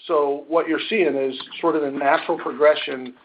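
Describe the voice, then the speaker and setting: Measured executive man on a muffled call. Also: on the high side, fairly even intonation, a little hiss or hum. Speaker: company finance executive on an earnings call. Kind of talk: answering analysts' questions on a conference call. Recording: muffled conference-call audio.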